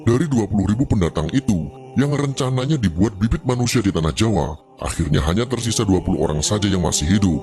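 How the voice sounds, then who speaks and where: A man's voice: on the low side, lively intonation, fast talker, a little mellow. A man reading a scripted narration in the style of legendary storytelling.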